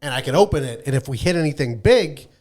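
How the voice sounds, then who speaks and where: A man with a medium-pitched voice in casual podcast discussion. He is argumentative and insistent, correcting and explaining with plenty of profanity. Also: lively intonation, quick pace, a little mellow. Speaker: podcast host.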